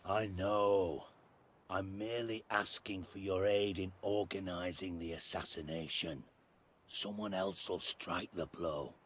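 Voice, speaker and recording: Game role: scheming middle-aged British spy chief, deep register, unhurried menace, rhetorical bite. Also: male voice, fairly even intonation, fairly bright tone, slightly rough, full character voice. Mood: conspiratorial. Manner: deliberate, sardonic, rhetorical. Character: cunning, blunt middle-aged male spymaster, menacing. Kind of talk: video game cutscene dialogue.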